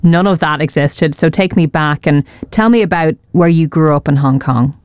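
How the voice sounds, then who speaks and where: A woman's voice: low-pitched, moderate pace, darkish tone, no room sound. A woman hosting an interview, asking direct questions that prompt her guest's story. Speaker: interview host.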